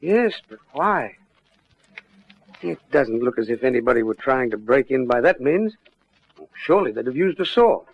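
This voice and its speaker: An actor playing a puzzled, worried shopkeeper in a classic radio detective drama. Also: male voice, mid-range voice, very animated, moderate pace, pauses often, breathy edge. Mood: baffled. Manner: anxious, bewildered. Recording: muffled vintage radio drama recording.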